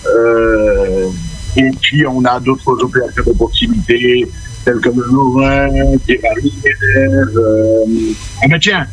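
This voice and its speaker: A man's voice: mid-range voice, steady tone, takes it slowly, slight background noise, rough recording. A man, a guest on live radio, talking at length about local events with hesitant fillers. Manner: talkative, hesitant with fillers, promotional.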